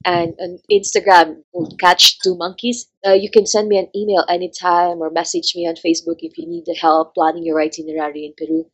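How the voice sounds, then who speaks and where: A woman with a low voice, English not her first language, talks casually as an interview guest. She chats freely, laughs often and leans on fillers as she goes. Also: sing-song, a little fast, vocal fry, few pauses, husky, low-quality recording. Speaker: travel blogger guest.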